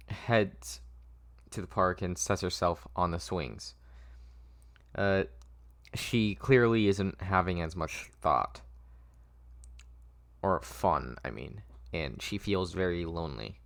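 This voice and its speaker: A man with a low voice narrates a script at a table read. He goes slowly and haltingly, often stumbling and correcting himself. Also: creaky, a bit bright, clear, resonant tone. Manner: halting, stumbling over the text.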